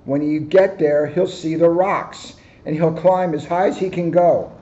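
A man in his sixties, a police officer, reading a picture book aloud with joking asides, in a high-pitched voice. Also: fairly even intonation, measured pace, mellow. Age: 60s. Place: office, indoors. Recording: dull, lo-fi camera microphone.

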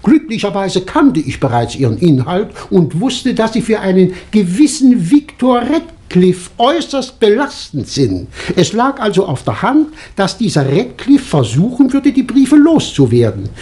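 An actor playing a shrewd, self-assured male doctor who speaks precisely and deliberately in a 1960s German radio crime drama. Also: high voice, big pitch swings, dark tone. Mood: measured, triumphant.

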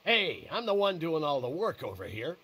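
An actor playing a bitter, hostile older father in a video game, barking insults in a gruff, raspy voice. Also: on the high side, big pitch swings, normal speed, bright, forceful tone, exaggerated voice. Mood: hostile, irritated.

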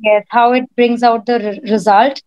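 A woman with a husky voice, a guest speaker giving confident, chatty advice on a video call. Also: on the high side, steady tone, moderate pace, a little mellow. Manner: confident, chatty, says "like" often. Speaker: guest speaker, podcast producer. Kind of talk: casual advice talk. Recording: dull lo-fi video call audio.

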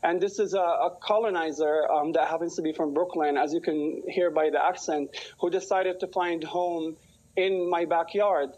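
A man's voice: fairly high pitch, fairly even intonation, normal speed, a little mellow, a little hiss or hum. A man in his twenties from Palestine, speaking articulately and emphatically as a news interview guest over a video call. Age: 20s. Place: small room at home, indoors. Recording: dull video-call audio.

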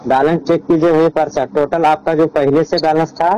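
A man with a fairly high-pitched voice speaks on a recorded phone call. He gives instructions one step at a time, insistently and directively, often repeating them. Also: flat delivery, fairly quick, warm tone, slight background noise. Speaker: phone caller.

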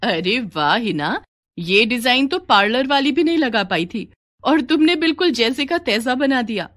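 Kindly, encouraging neighbour lady for cartoon moral story, female performer; higher-pitched. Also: expressive, moderate pace, a bit bright. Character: kind, encouraging married woman neighbour.

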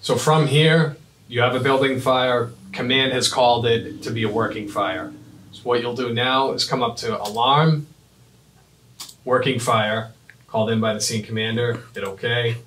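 A man in his thirties giving a step-by-step software demonstration in short, clipped instructional phrases. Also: mid-range voice, steady tone, normal speed. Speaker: fire dispatch trainer. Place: small indoor office at a computer desk. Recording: roomy, echoing, mic at a distance.

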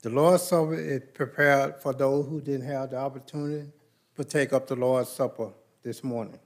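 A man leading the Lord's Supper and prayers in a large church sanctuary, speaking with a slow, measured, reverent cadence into a pulpit microphone. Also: mid-range voice, steady tone.

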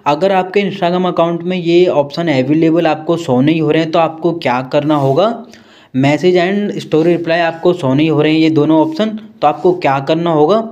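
A man's voice: on the high side, darkish tone, muffled recording. A man narrating a step-by-step tech tutorial in a repetitive, instructional way. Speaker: tutorial host.

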